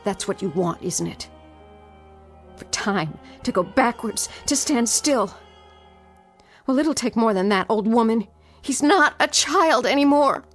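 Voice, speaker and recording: Radio drama role: determined, frightened woman, high voice, intense drawn-out delivery, music beneath. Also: animated. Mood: defiant, confrontational. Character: determined, frightened long-waiting fiancée, pleading then defiant.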